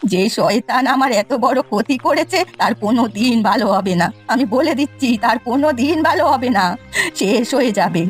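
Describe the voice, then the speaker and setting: A woman plays a quick-tempered, suspicious old granny from a village cartoon. The voice is high, full of scolding, curses and lament. Faint music runs behind it. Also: expressive, lightly acted. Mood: cursing. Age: older. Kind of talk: cartoon folk-tale voice acting.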